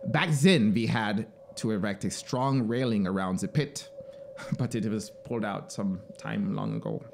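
A man's voice: lowish voice, a little slow, darkish tone, a little hiss or hum. A man playing a zealous, scheming penal colony officer in a story, emphatic and persuasive. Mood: nostalgic, fervent. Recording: clear background synthesizer ambience.

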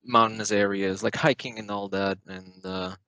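A man's voice: lowish voice, fairly even intonation, normal speed, sharp tone, no echo. A young male university student from Serbia, a guest on a video call, who speaks hesitantly with many fillers and frequent laughs. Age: young adult. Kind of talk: casual video-call interview.

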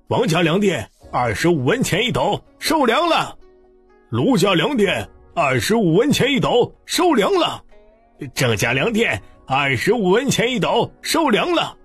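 A man voicing a loud, excited street crier for a grain shop in an audiobook. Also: high voice, measured pace, husky, no echo, faint music behind, voice pushed up, heavily acted. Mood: shouting, urgent.

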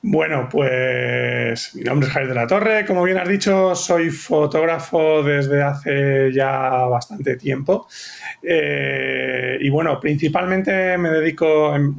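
Guest, man in his 40s, Madrid accent, rambling and warm-humored, webcam audio. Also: fairly high pitch, unhurried, creaky. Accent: Madrid Spanish. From Madrid, Spain. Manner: chatty, self-correcting, laughs often. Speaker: guest photographer. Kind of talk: casual conversation. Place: home room. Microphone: video call, webcam.

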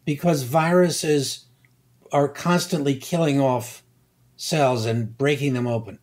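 An older man, a guest scientist, explaining slowly and deliberately, pausing and repeating words as he thinks. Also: medium pitch, steady tone, a little mellow. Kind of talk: unhurried expert explanation.

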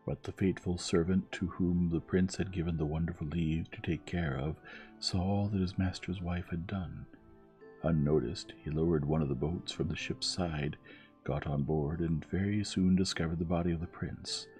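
Deep-voiced man gently reading a bedtime story. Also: fairly even intonation. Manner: soft, measured, soothing reading. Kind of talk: gentle scripted storybook narration. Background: music.

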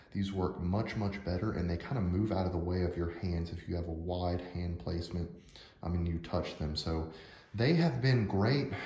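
Casting: deep-voiced man, laid-back gear walkthrough, filmed on a phone in an echoey home gym. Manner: relaxed, matter-of-fact, many fillers and "so". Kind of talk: casual walkthrough narration. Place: small home gym, indoors. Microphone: handheld phone camera, speaker off screen. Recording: echoey small room.

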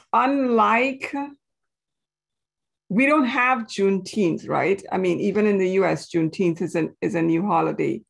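A woman's voice: mid-range voice, gravelly. A woman from Trinidad and Tobago, giving a casual, chatty presentation to a group. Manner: chatty, informal asides to the audience.

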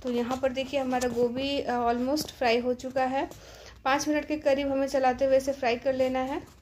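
A woman with a fairly high voice talks through a home recipe in a calm, instructive way, pausing now and then to find her words. Her delivery stays flat and even, with little rise and fall. A faint hiss sits under the voice-over. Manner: calm, instructive, steady with occasional hesitations. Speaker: home cooking channel host. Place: home kitchen.

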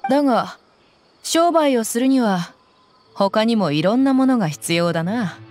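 A woman's voice: fairly high pitch, lively intonation, unhurried, darkish tone, soft background music. An actress playing a tough, confident young woman mercenary in a Japanese anime game, with blunt, intimidating phrasing. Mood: cool, threatening.

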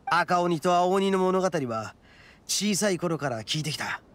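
An actor playing a brash, proud young male oni gang boss in a video game, with rough, cocky, emphatic speech. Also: sits high, expressive, a little slow, fairly bright tone, a little hiss or hum. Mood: earnest, proud. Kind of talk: dramatic video game voice acting.

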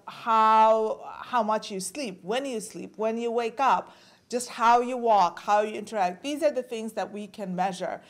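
A woman in her forties from Bangladesh giving an academic talk at a podium microphone, with frequent fillers and restarts. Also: medium pitch. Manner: casual, many fillers and restarts. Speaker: guest lecturer. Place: lecture hall at a podium.

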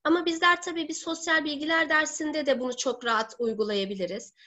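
A woman in her thirties giving an online seminar as a teacher, speaking deliberately with halting, drawn-out words. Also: sits high, steady tone, sharp tone. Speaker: teacher presenting a webinar. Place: living room at home. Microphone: laptop or webcam mic on video call. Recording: dull lo-fi webcam call audio.

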